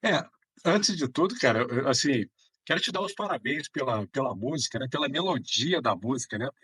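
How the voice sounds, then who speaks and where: A man's voice: mid-range voice, expressive, moderate pace, bright, forceful tone, slightly rough, no echo. A man from Belo Horizonte with a Minas Gerais Brazilian accent, answering casually as a podcast guest in a rambling way, often adding checking tags. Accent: Brazilian Portuguese, Minas Gerais. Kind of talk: casual conversational interview answers.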